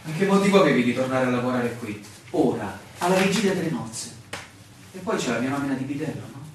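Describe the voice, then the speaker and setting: An actor playing a weary, bewildered land surveyor in a radio drama, theatrical and searching, full of questions. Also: male voice, mid-range voice, lively intonation, quick pace, close to an everyday voice. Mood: anxious, persuasive. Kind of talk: Italian stage-style radio drama acting. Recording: very echoey, distant, clearly noisy room recording.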